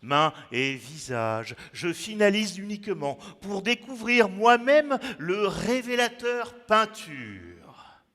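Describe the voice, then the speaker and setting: Guy doing a dramatic poetry recital, super slow, pitch all over the place, big echoey hall. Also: big pitch swings. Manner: declamatory, dramatic pauses, sudden swings from hushed to loud. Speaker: poet performing his own text. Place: large echoing museum gallery, indoors. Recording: reverberant hall echo.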